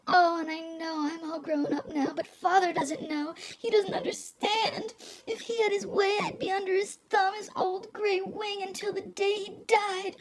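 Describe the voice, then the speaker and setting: A woman playing a young, pleading femme fatale in a noir radio drama, theatrical and tearful, with swooping breathy delivery. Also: very high-pitched, slight background noise, poor audio. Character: melodramatic young femme fatale, sheltered rich girl turned scheming, pleading lover.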